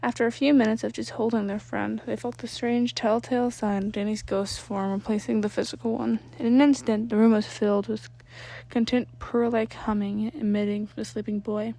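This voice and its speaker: A woman narrating fan fiction as an amateur reader, expressive and informal, sometimes stumbling and rereading words. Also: on the high side, moderate pace, vocal fry.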